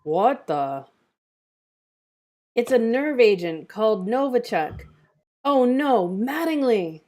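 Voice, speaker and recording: A woman's voice: fairly high pitch, sing-song, takes it slowly, darkish tone, pitched above the performer's own voice. A woman playing an alarmed, urgent character in an action screenplay table read.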